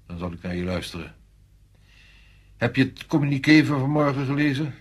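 An actor playing an authoritative French general in a Dutch radio play, speaking in a measured, deliberate, commanding way. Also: male voice, medium pitch, animated, husky. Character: authoritative male French general briefing a subordinate.

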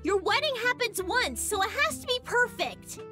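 Very shrill, gushing hedgehog girl. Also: sing-song, moderate pace, over music. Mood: gushing, insistent. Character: gushing, bossy young hedgehog girl wedding planner. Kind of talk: fan comic dub.

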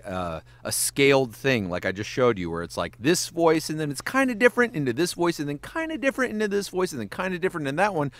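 A man talking through casual podcast advice with a lively, swooping pitch, swearing freely as he goes. He works in voice acting and animation and keeps breaking into quick voice demos. The sound is dry and close, with almost no room around him. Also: no room sound. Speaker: guest voice actor and animator.

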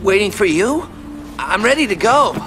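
Casting: whiny, impatient game survivor, high voice, lively swings. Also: male voice, moderate pace, noisy background. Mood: anxious, impatient. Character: nervous, impatient stranded male survivor. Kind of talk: video game cutscene acting.

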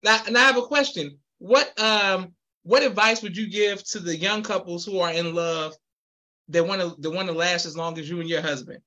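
Bright, upbeat podcast host man. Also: sits high, moderate pace, low-quality recording. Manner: upbeat, laughs often, repeats "you can't go wrong". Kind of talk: casual interview over video call.

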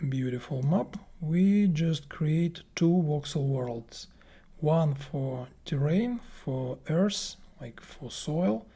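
Slow breathy man walking through a tutorial. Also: on the high side, dark tone. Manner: slow, halting, thinking aloud with many fillers. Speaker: game plugin developer. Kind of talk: screen-recorded software tutorial.

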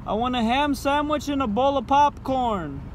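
A man's voice: very high voice, lively intonation, normal speed. A young man pranking drive-thru workers from inside a car, recorded on a phone held close. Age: young adult. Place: inside a parked car at night. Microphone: phone held close in front. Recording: car interior with faint noise.

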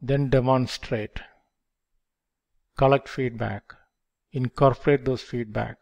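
An older man explaining project life cycles step by step, in a measured lecture delivery on a headset microphone. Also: medium pitch, fairly even intonation, creaky, a little mellow. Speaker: project management instructor. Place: dim indoor room. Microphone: headset mic.

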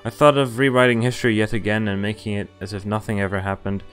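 A man's voice: lowish voice, level tone, fast talker, fairly bright tone, clean tone, faint music behind. Young man reading a game aloud. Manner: reads narration plainly, breaks off into wry, exasperated asides. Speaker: streamer reading a visual novel aloud. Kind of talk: casual reading with commentary.

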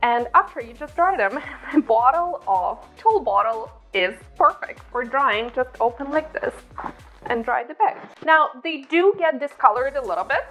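A bubbly woman talks through a cooking tutorial, her voice sitting fairly high. She speaks on a clip-on mic in a home kitchen. Light music plays softly underneath. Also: animated, moderate pace. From Ukraine. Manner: bubbly and chatty. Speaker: cooking channel host. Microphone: clip-on lapel mic.

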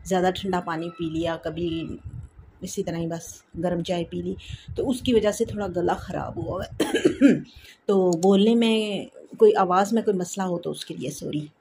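A woman's voice: lowish voice, steady tone, normal speed, vocal fry, slight background noise. A woman in her thirties with a sore throat, hosting a home cooking vlog and chatting to viewers about life and faith. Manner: warm religious blessings, chatty reflective. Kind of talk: casual advice chat to viewers. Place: home kitchen, indoors.

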